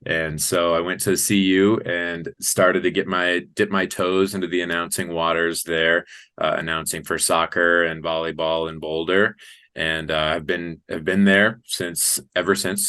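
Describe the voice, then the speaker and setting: A man's voice: deep voice, bright, forceful tone. A man in his thirties from Colorado with an American accent, talking enthusiastically and earnestly as an announcer being interviewed. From Colorado, United States. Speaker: sports public-address announcer, interview guest. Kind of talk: conversational interview.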